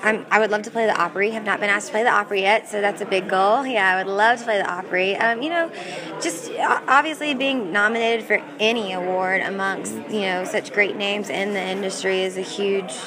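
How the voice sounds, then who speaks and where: A woman's voice: mid-range voice, a little fast. Chatty young woman country singer, crowd behind. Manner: upbeat, chatty, many restarts. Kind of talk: casual interview answers.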